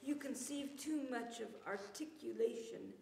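A middle-aged woman reading poetry aloud as a poet, with deliberate, declamatory phrasing. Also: a little high, gravelly, a little mellow, slight background noise. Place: church meeting room with tiled floor, indoors. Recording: roomy, reverberant hall sound.

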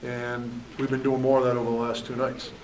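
A man's voice: mid-range voice, monotone, brisk, darkish tone, far from the mic, rough recording. A man in his forties, a head hockey coach, answering questions candidly at a postgame press conference and often saying "you know". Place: press room at a backdrop. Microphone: table mic, at a distance.

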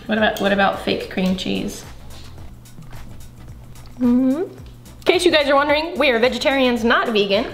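A woman's voice: fairly high pitch, animated, moderate pace, pauses often, echoey room, faint music behind. A young woman hosting a casual cooking video, chatting and explaining each step as she cooks in a large home kitchen. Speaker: host.

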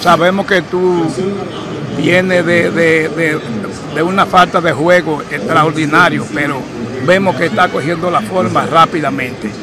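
A man's voice: fairly high pitch, steady tone, a little slow, a crowd in the background. An older man reporting on location from a baseball stadium, talking formally into a handheld mic, often stopping to restart phrases. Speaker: field reporter. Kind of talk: on-site sports reporting.

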